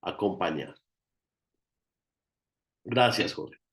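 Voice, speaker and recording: A man's voice: deep voice, lively intonation, very slow, a bit bright. A man with a Colombian accent giving an informal, emphatic teaching talk as a guest therapist, often repeating phrases for emphasis.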